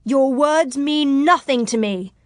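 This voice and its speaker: A woman's voice: high voice, takes it slowly, no echo, clean studio sound, pitched above the performer's own voice. A woman voicing a proud, passionate medieval noblewoman in an audiobook, declaiming in impassioned, ringing tones. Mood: ringing defiance.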